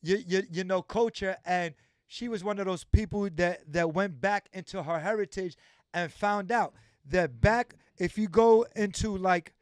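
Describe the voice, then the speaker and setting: A man's voice: sits high, a little slow, smooth, no room sound, clean studio sound. A man hosting a livestream interview, talking emphatically and repeating phrases for stress. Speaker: livestream host.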